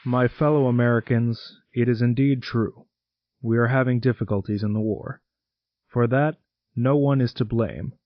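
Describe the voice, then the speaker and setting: A man's voice: medium pitch, steady tone, moderate pace, no echo, lightly acted. Guy acting as solemn wartime us president, mid voice, dry muffled radio address. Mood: solemn, resolute. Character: solemn, reassuring American president addressing nation at war.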